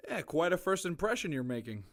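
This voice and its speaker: A man's voice: a little high, very animated, fairly quick, a bit bright, no room sound, clean studio sound. A man playing a dutiful, sarcastic sheriff in an audio drama, formal and terse. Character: gruff, businesslike male sheriff, sarcastic and dutiful.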